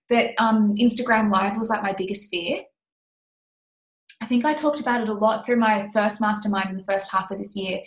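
Animated woman coach in an echoey room. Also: a little high. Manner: animated, laughs often, acts out her past self. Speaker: business coach leading a mastermind group. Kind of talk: casual talk to a coaching group.

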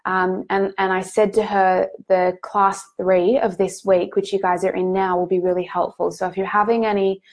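A woman with a head cold, sounding a bit nasal, hosting a live group coaching call in a gentle, reassuring way with frequent ums and restarts. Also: medium pitch, level tone, moderate pace, few pauses.

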